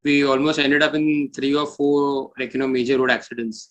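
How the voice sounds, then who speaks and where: A man's voice: mid-range voice, fairly even intonation, moderate pace, fairly bright tone. A man in a casual interview chat, speaking informally and repeating phrases for emphasis. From India. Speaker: metal musician, interview guest.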